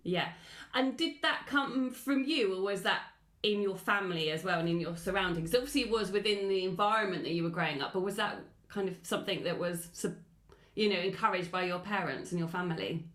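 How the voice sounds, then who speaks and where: A woman's voice: mid-range voice, fairly quick, fairly bright tone, reverberant. A woman hosting an interview podcast. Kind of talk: scripted podcast introduction, then conversational interview.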